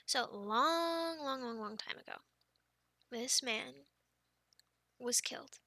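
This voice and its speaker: A high, bright young female voice , acted by a woman, plays a friend sharing spooky stories in an ASMR roleplay. She is expressive and playful, slipping toward breathy softness. The sound is close and very dry. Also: slow, dry recording, exaggerated voice. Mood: hushed, solemn. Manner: expressive, teasing, breaking into breathy near-whispers.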